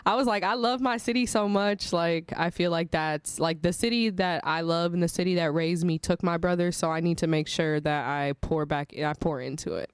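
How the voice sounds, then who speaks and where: An American woman in her thirties from Milwaukee with a clean, smooth voice, recorded dry. She speaks as a guest in a casual interview, informal, slangy and candid. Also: lowish voice, normal speed, hardly any pauses, dry recording. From Milwaukee, United States. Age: 30s. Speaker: radio show guest, social media creator.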